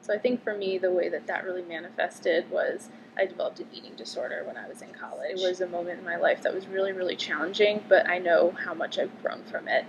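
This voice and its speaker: A woman's voice: lowish voice, steady tone, fast, few pauses, dull audio, slight background noise. A woman in her twenties from Connecticut, a medical student answering interview questions casually, with lots of "um" and "like". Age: 20s. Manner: chatty, frequent "um" and "like" fillers.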